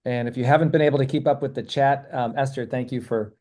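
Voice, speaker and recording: A man hosting a webinar, speaking in an appreciative, measured way as he thanks people and takes questions. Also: medium pitch, fairly even intonation, a little mellow. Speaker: webinar host. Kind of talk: webinar presentation and Q&A.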